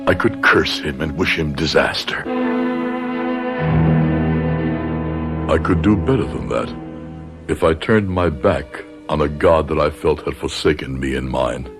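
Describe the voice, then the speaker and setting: A man's voice: a bass voice, normal speed, over music. An actor playing a bitter, grieving middle-aged father with a grave, dramatic manner in a radio drama. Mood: bitter rage. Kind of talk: radio drama acting with first-person narration.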